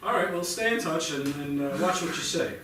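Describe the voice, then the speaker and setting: A man's voice: a little high, lively intonation, fairly quick, over a noisy crowd, natural voice. An actor playing a defensive, argumentative middle-aged father in a live staged play reading. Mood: curt. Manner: insistent, argumentative, stumbling over restarts. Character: defensive, combative father shielding his teenage son. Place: indoor theatre stage, recorded from a distance. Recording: echoey, distant theatre recording with faint noise.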